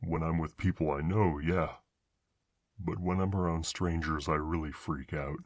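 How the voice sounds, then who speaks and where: A man voices a big, bashful monster with a gentle heart. The voice is very low and recorded dry and close. He talks hesitantly and kindly, as in an ASMR roleplay. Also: fairly even intonation, normal speed, no echo. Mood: nervous.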